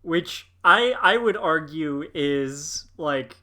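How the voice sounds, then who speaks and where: Upbeat, bright-voiced young man. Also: a little high, animated. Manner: supportive, laughs easily, halting starts. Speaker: guest co-host. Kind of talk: casual livestream chat.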